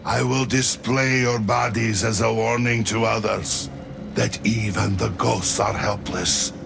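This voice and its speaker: An actor playing a cruel, boastful Mongol warlord who booms threats in a gravelly voice over game music. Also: male voice, steady tone, measured pace. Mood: menacing. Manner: booming threats.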